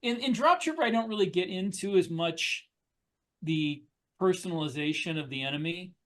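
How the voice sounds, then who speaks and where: A man co-hosting a podcast as an author, talking casually and haltingly about writing fiction. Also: high-pitched, a bit bright.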